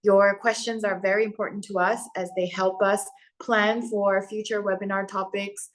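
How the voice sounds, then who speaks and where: A woman in her forties, born in Korea and raised in Hong Kong, Thailand and Singapore, hosting an education webinar in a steady, organized way with frequent "uh" and "um" fillers. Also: medium pitch, normal speed. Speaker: webinar host and education center founder. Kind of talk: presenting a live webinar.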